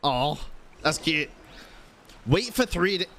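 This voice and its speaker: A man's voice: on the high side, very animated, slow pace, fairly bright tone, a little hiss or hum. A man giving casual, exclamatory, sweary live commentary as he streams a game.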